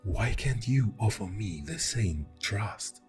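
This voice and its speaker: A man playing an exasperated, defensive boyfriend in an ASMR audio roleplay, speaking in a deep, soft voice with heavy sighs. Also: lively intonation, over music. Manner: slow, pausing, with heavy sighs. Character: exasperated, defensive boyfriend arguing with a jealous partner.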